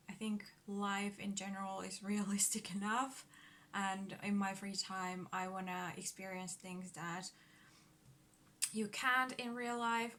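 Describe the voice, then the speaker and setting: A woman in her thirties from Finland talks into her phone camera at home, her English carrying a Finnish accent. She speaks slowly, with a bright tone, nervous laughs and plenty of fillers. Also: medium pitch. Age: 30s. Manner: nervous, giggly, hesitant with many fillers. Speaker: book vlogger. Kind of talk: casual vlog talk to camera. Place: at home in front of a bookcase.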